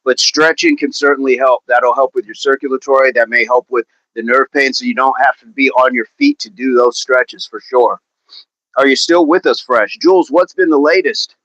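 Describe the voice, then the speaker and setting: This is a man hosting a casual fitness livestream, talking at an everyday middle pitch. He stays chatty and upbeat, and laughs easily as he answers viewers' comments. The recording is dry, with no room sound around the voice. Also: moderate pace, fairly bright tone, no echo, lo-fi sound. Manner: chatty, upbeat, laughs often, repeats phrases.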